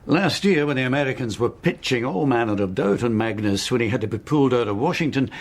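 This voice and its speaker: A man acting as a shrewd intelligence officer in a radio play. His voice is husky and mid-pitched, swinging widely as he questions. He is clipped, probing and sardonic. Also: animated, fast, natural voice. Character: shrewd, sardonic male British intelligence officer, needling interrogator.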